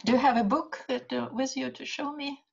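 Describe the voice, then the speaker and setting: A woman's voice: sits high, steady tone, brisk, creaky, noisy background. An older woman with a Russian accent, a writer and translator speaking in a video call, hesitating often as she searches for English words. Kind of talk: casual conversation over video call. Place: home study with bookshelves. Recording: dull lo-fi video call audio.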